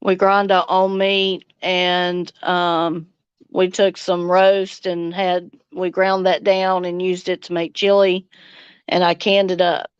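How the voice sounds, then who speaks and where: A woman's voice: on the low side, little pitch movement, takes it slowly, clear, resonant tone, no room sound, dull audio. A middle-aged woman hosting a casual livestream, chatting and answering viewer comments. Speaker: livestream host and homesteading vlogger.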